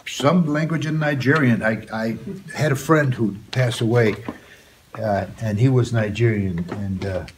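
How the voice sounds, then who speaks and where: An older man, a guest poet, reading a poem and chatting softly with students in a school classroom. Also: medium pitch, measured pace. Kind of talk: poetry reading and chat. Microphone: far phone camera. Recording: roomy, distant, noisy phone recording.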